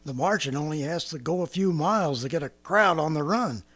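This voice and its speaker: Paranoid militiaman, husky highish voice, wildly swooping pitch, blunt, folksy, radio drama. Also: lively intonation, brisk, a bit bright. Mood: bitter, defeated. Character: paranoid, bitter, territorial militiaman survivor, a man. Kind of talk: 1930s-style radio drama acting.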